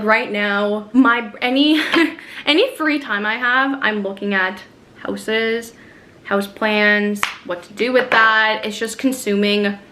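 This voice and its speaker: A young woman chatting casually to camera in a vlog while doing her makeup at home, upbeat and full of soft fillers. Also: medium pitch. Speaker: lifestyle vlogger. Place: at home in front of a mirror.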